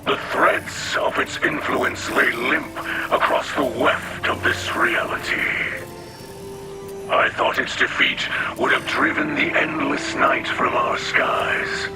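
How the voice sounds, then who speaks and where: A wise, gentle alien elder, acted out by a man, speaks very solemnly in a breathy, rasping growl. The phrasing is slow and ceremonial, like a game cutscene, and loud music plays behind. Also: medium pitch, fairly even intonation, close to an everyday voice.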